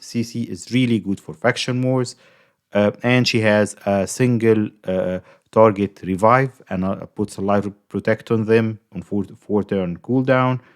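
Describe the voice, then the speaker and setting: Young Egyptian man with an Egyptian accent, gaming YouTuber, casual rambling guide talk, slightly low voice. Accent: Egyptian Arabic. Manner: chatty, rambling, many fillers and restarts.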